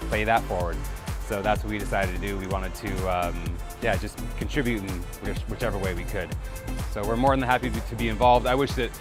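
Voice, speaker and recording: A restaurant owner speaking a short statement to camera, hesitant and full of fillers. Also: male voice, on the low side, steady tone, music in the background. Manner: halting, many "um"s.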